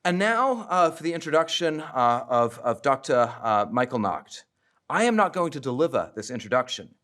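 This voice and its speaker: Male host at a university podium reading formal introductions, slightly halting. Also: medium pitch, sing-song, a bit bright. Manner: reads bios with frequent "uh" pauses. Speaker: event host introducing speakers. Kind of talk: formal introduction at a public talk. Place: university lecture hall. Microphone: podium microphone.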